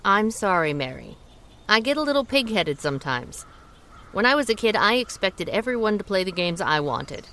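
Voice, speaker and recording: A woman's voice: medium pitch, sing-song, bright, forceful tone, slight background noise. An actress playing a headstrong young woman who narrates her own story wryly and bosses her friend around. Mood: earnest. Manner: wry, brisk storytelling. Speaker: narrator and boss. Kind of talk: radio drama narration and acting.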